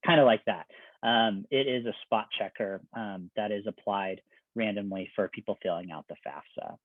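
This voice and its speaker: A man working as an admissions counselor, giving a conversational, step-by-step presentation with everyday examples. His voice is getting a little raspy. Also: on the low side, moderate pace, no echo. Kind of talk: informational presentation. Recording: dry, phone-like video call audio.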